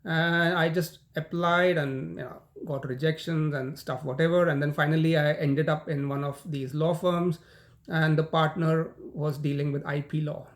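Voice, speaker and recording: A man's voice: fairly high pitch, steady tone, moderate pace. A middle-aged man with an Indian accent gives a law lecture in a conversational way, often saying "um" and "you know" and repeating words as he goes. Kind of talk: recorded university lecture.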